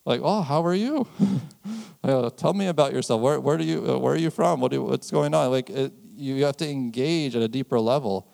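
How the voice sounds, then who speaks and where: An American man preaching a sermon in a conversational way, chuckling often and slowing down to stress key phrases. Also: medium pitch, vocal fry, darkish tone. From United States. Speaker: pastor.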